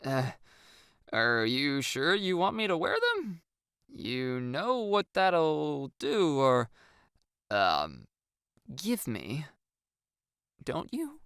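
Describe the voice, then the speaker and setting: A woman's voice: deep voice, very animated, very slow, pauses often, a bit bright, clean tone, dry recording, studio quality, exaggerated voice. Woman playing a flustered, swoopy-voiced young man. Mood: flustered, hesitant. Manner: flustered, hesitant, melodramatic. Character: flustered young man playing pouty mock-dramatic leading lady. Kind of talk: audiobook character dialogue.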